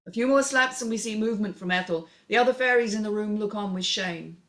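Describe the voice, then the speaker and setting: A woman's voice: mid-range voice, fast, fairly bright tone. A woman narrating a screenplay, reading out scene headings and stage directions as scripted narration.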